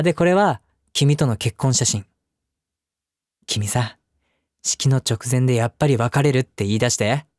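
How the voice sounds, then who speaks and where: A man acting as a devoted young husband, speaking gently and intimately to the listener as his wife in an audio drama. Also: mid-range voice, dry recording, studio quality, lightly acted. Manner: gentle, affectionate, softly chuckling between phrases. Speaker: voice actor. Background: background music.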